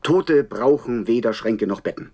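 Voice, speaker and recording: An actor playing an indignant, scornful television reporter giving dramatic running commentary in a German radio play. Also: male voice, mid-range voice, animated, normal speed, darkish tone, natural voice. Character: indignant, scornful male TV reporter at an internment camp.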